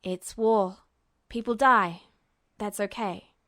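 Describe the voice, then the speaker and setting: Storybook role: shrugging, fatalistic teen boy soldier, slow and relaxed, played by a woman. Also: mid-range voice, no room sound, studio quality. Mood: resigned. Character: teenage boy soldier, easygoing, teasing, fatalistic. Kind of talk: audiobook-style character reading of fan fiction.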